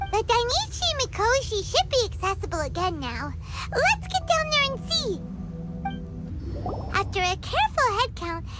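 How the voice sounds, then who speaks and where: A woman voicing a tiny, excitable, indignant fairy girl companion in an English-language video game. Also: extremely high pitch, heavy background noise, exaggerated voice. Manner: indignant, refers to herself in third person.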